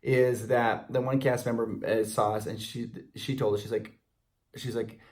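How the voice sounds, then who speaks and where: A man's voice: medium pitch, level tone, moderate pace. A middle-aged American man co-hosting a video, chatting casually and retelling stories with quoted dialogue. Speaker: co-host of a theme park video channel. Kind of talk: casual chat, anecdotal storytelling.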